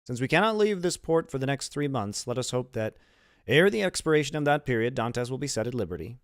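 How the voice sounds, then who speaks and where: Scheming male ship's officer for a classic storybook, voiced by a male reader: insinuating, measured, animated pitch, close dry sound. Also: no room sound. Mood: oily, deferential. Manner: insinuating, measured, formally worded. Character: scheming, smooth-tongued, self-serving male ship's officer.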